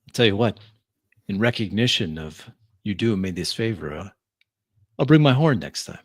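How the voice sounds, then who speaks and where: A man voicing a smooth-talking, coaxing club regular and musician in a tabletop roleplaying game. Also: fairly low pitch, expressive, moderate pace, no room sound, studio quality.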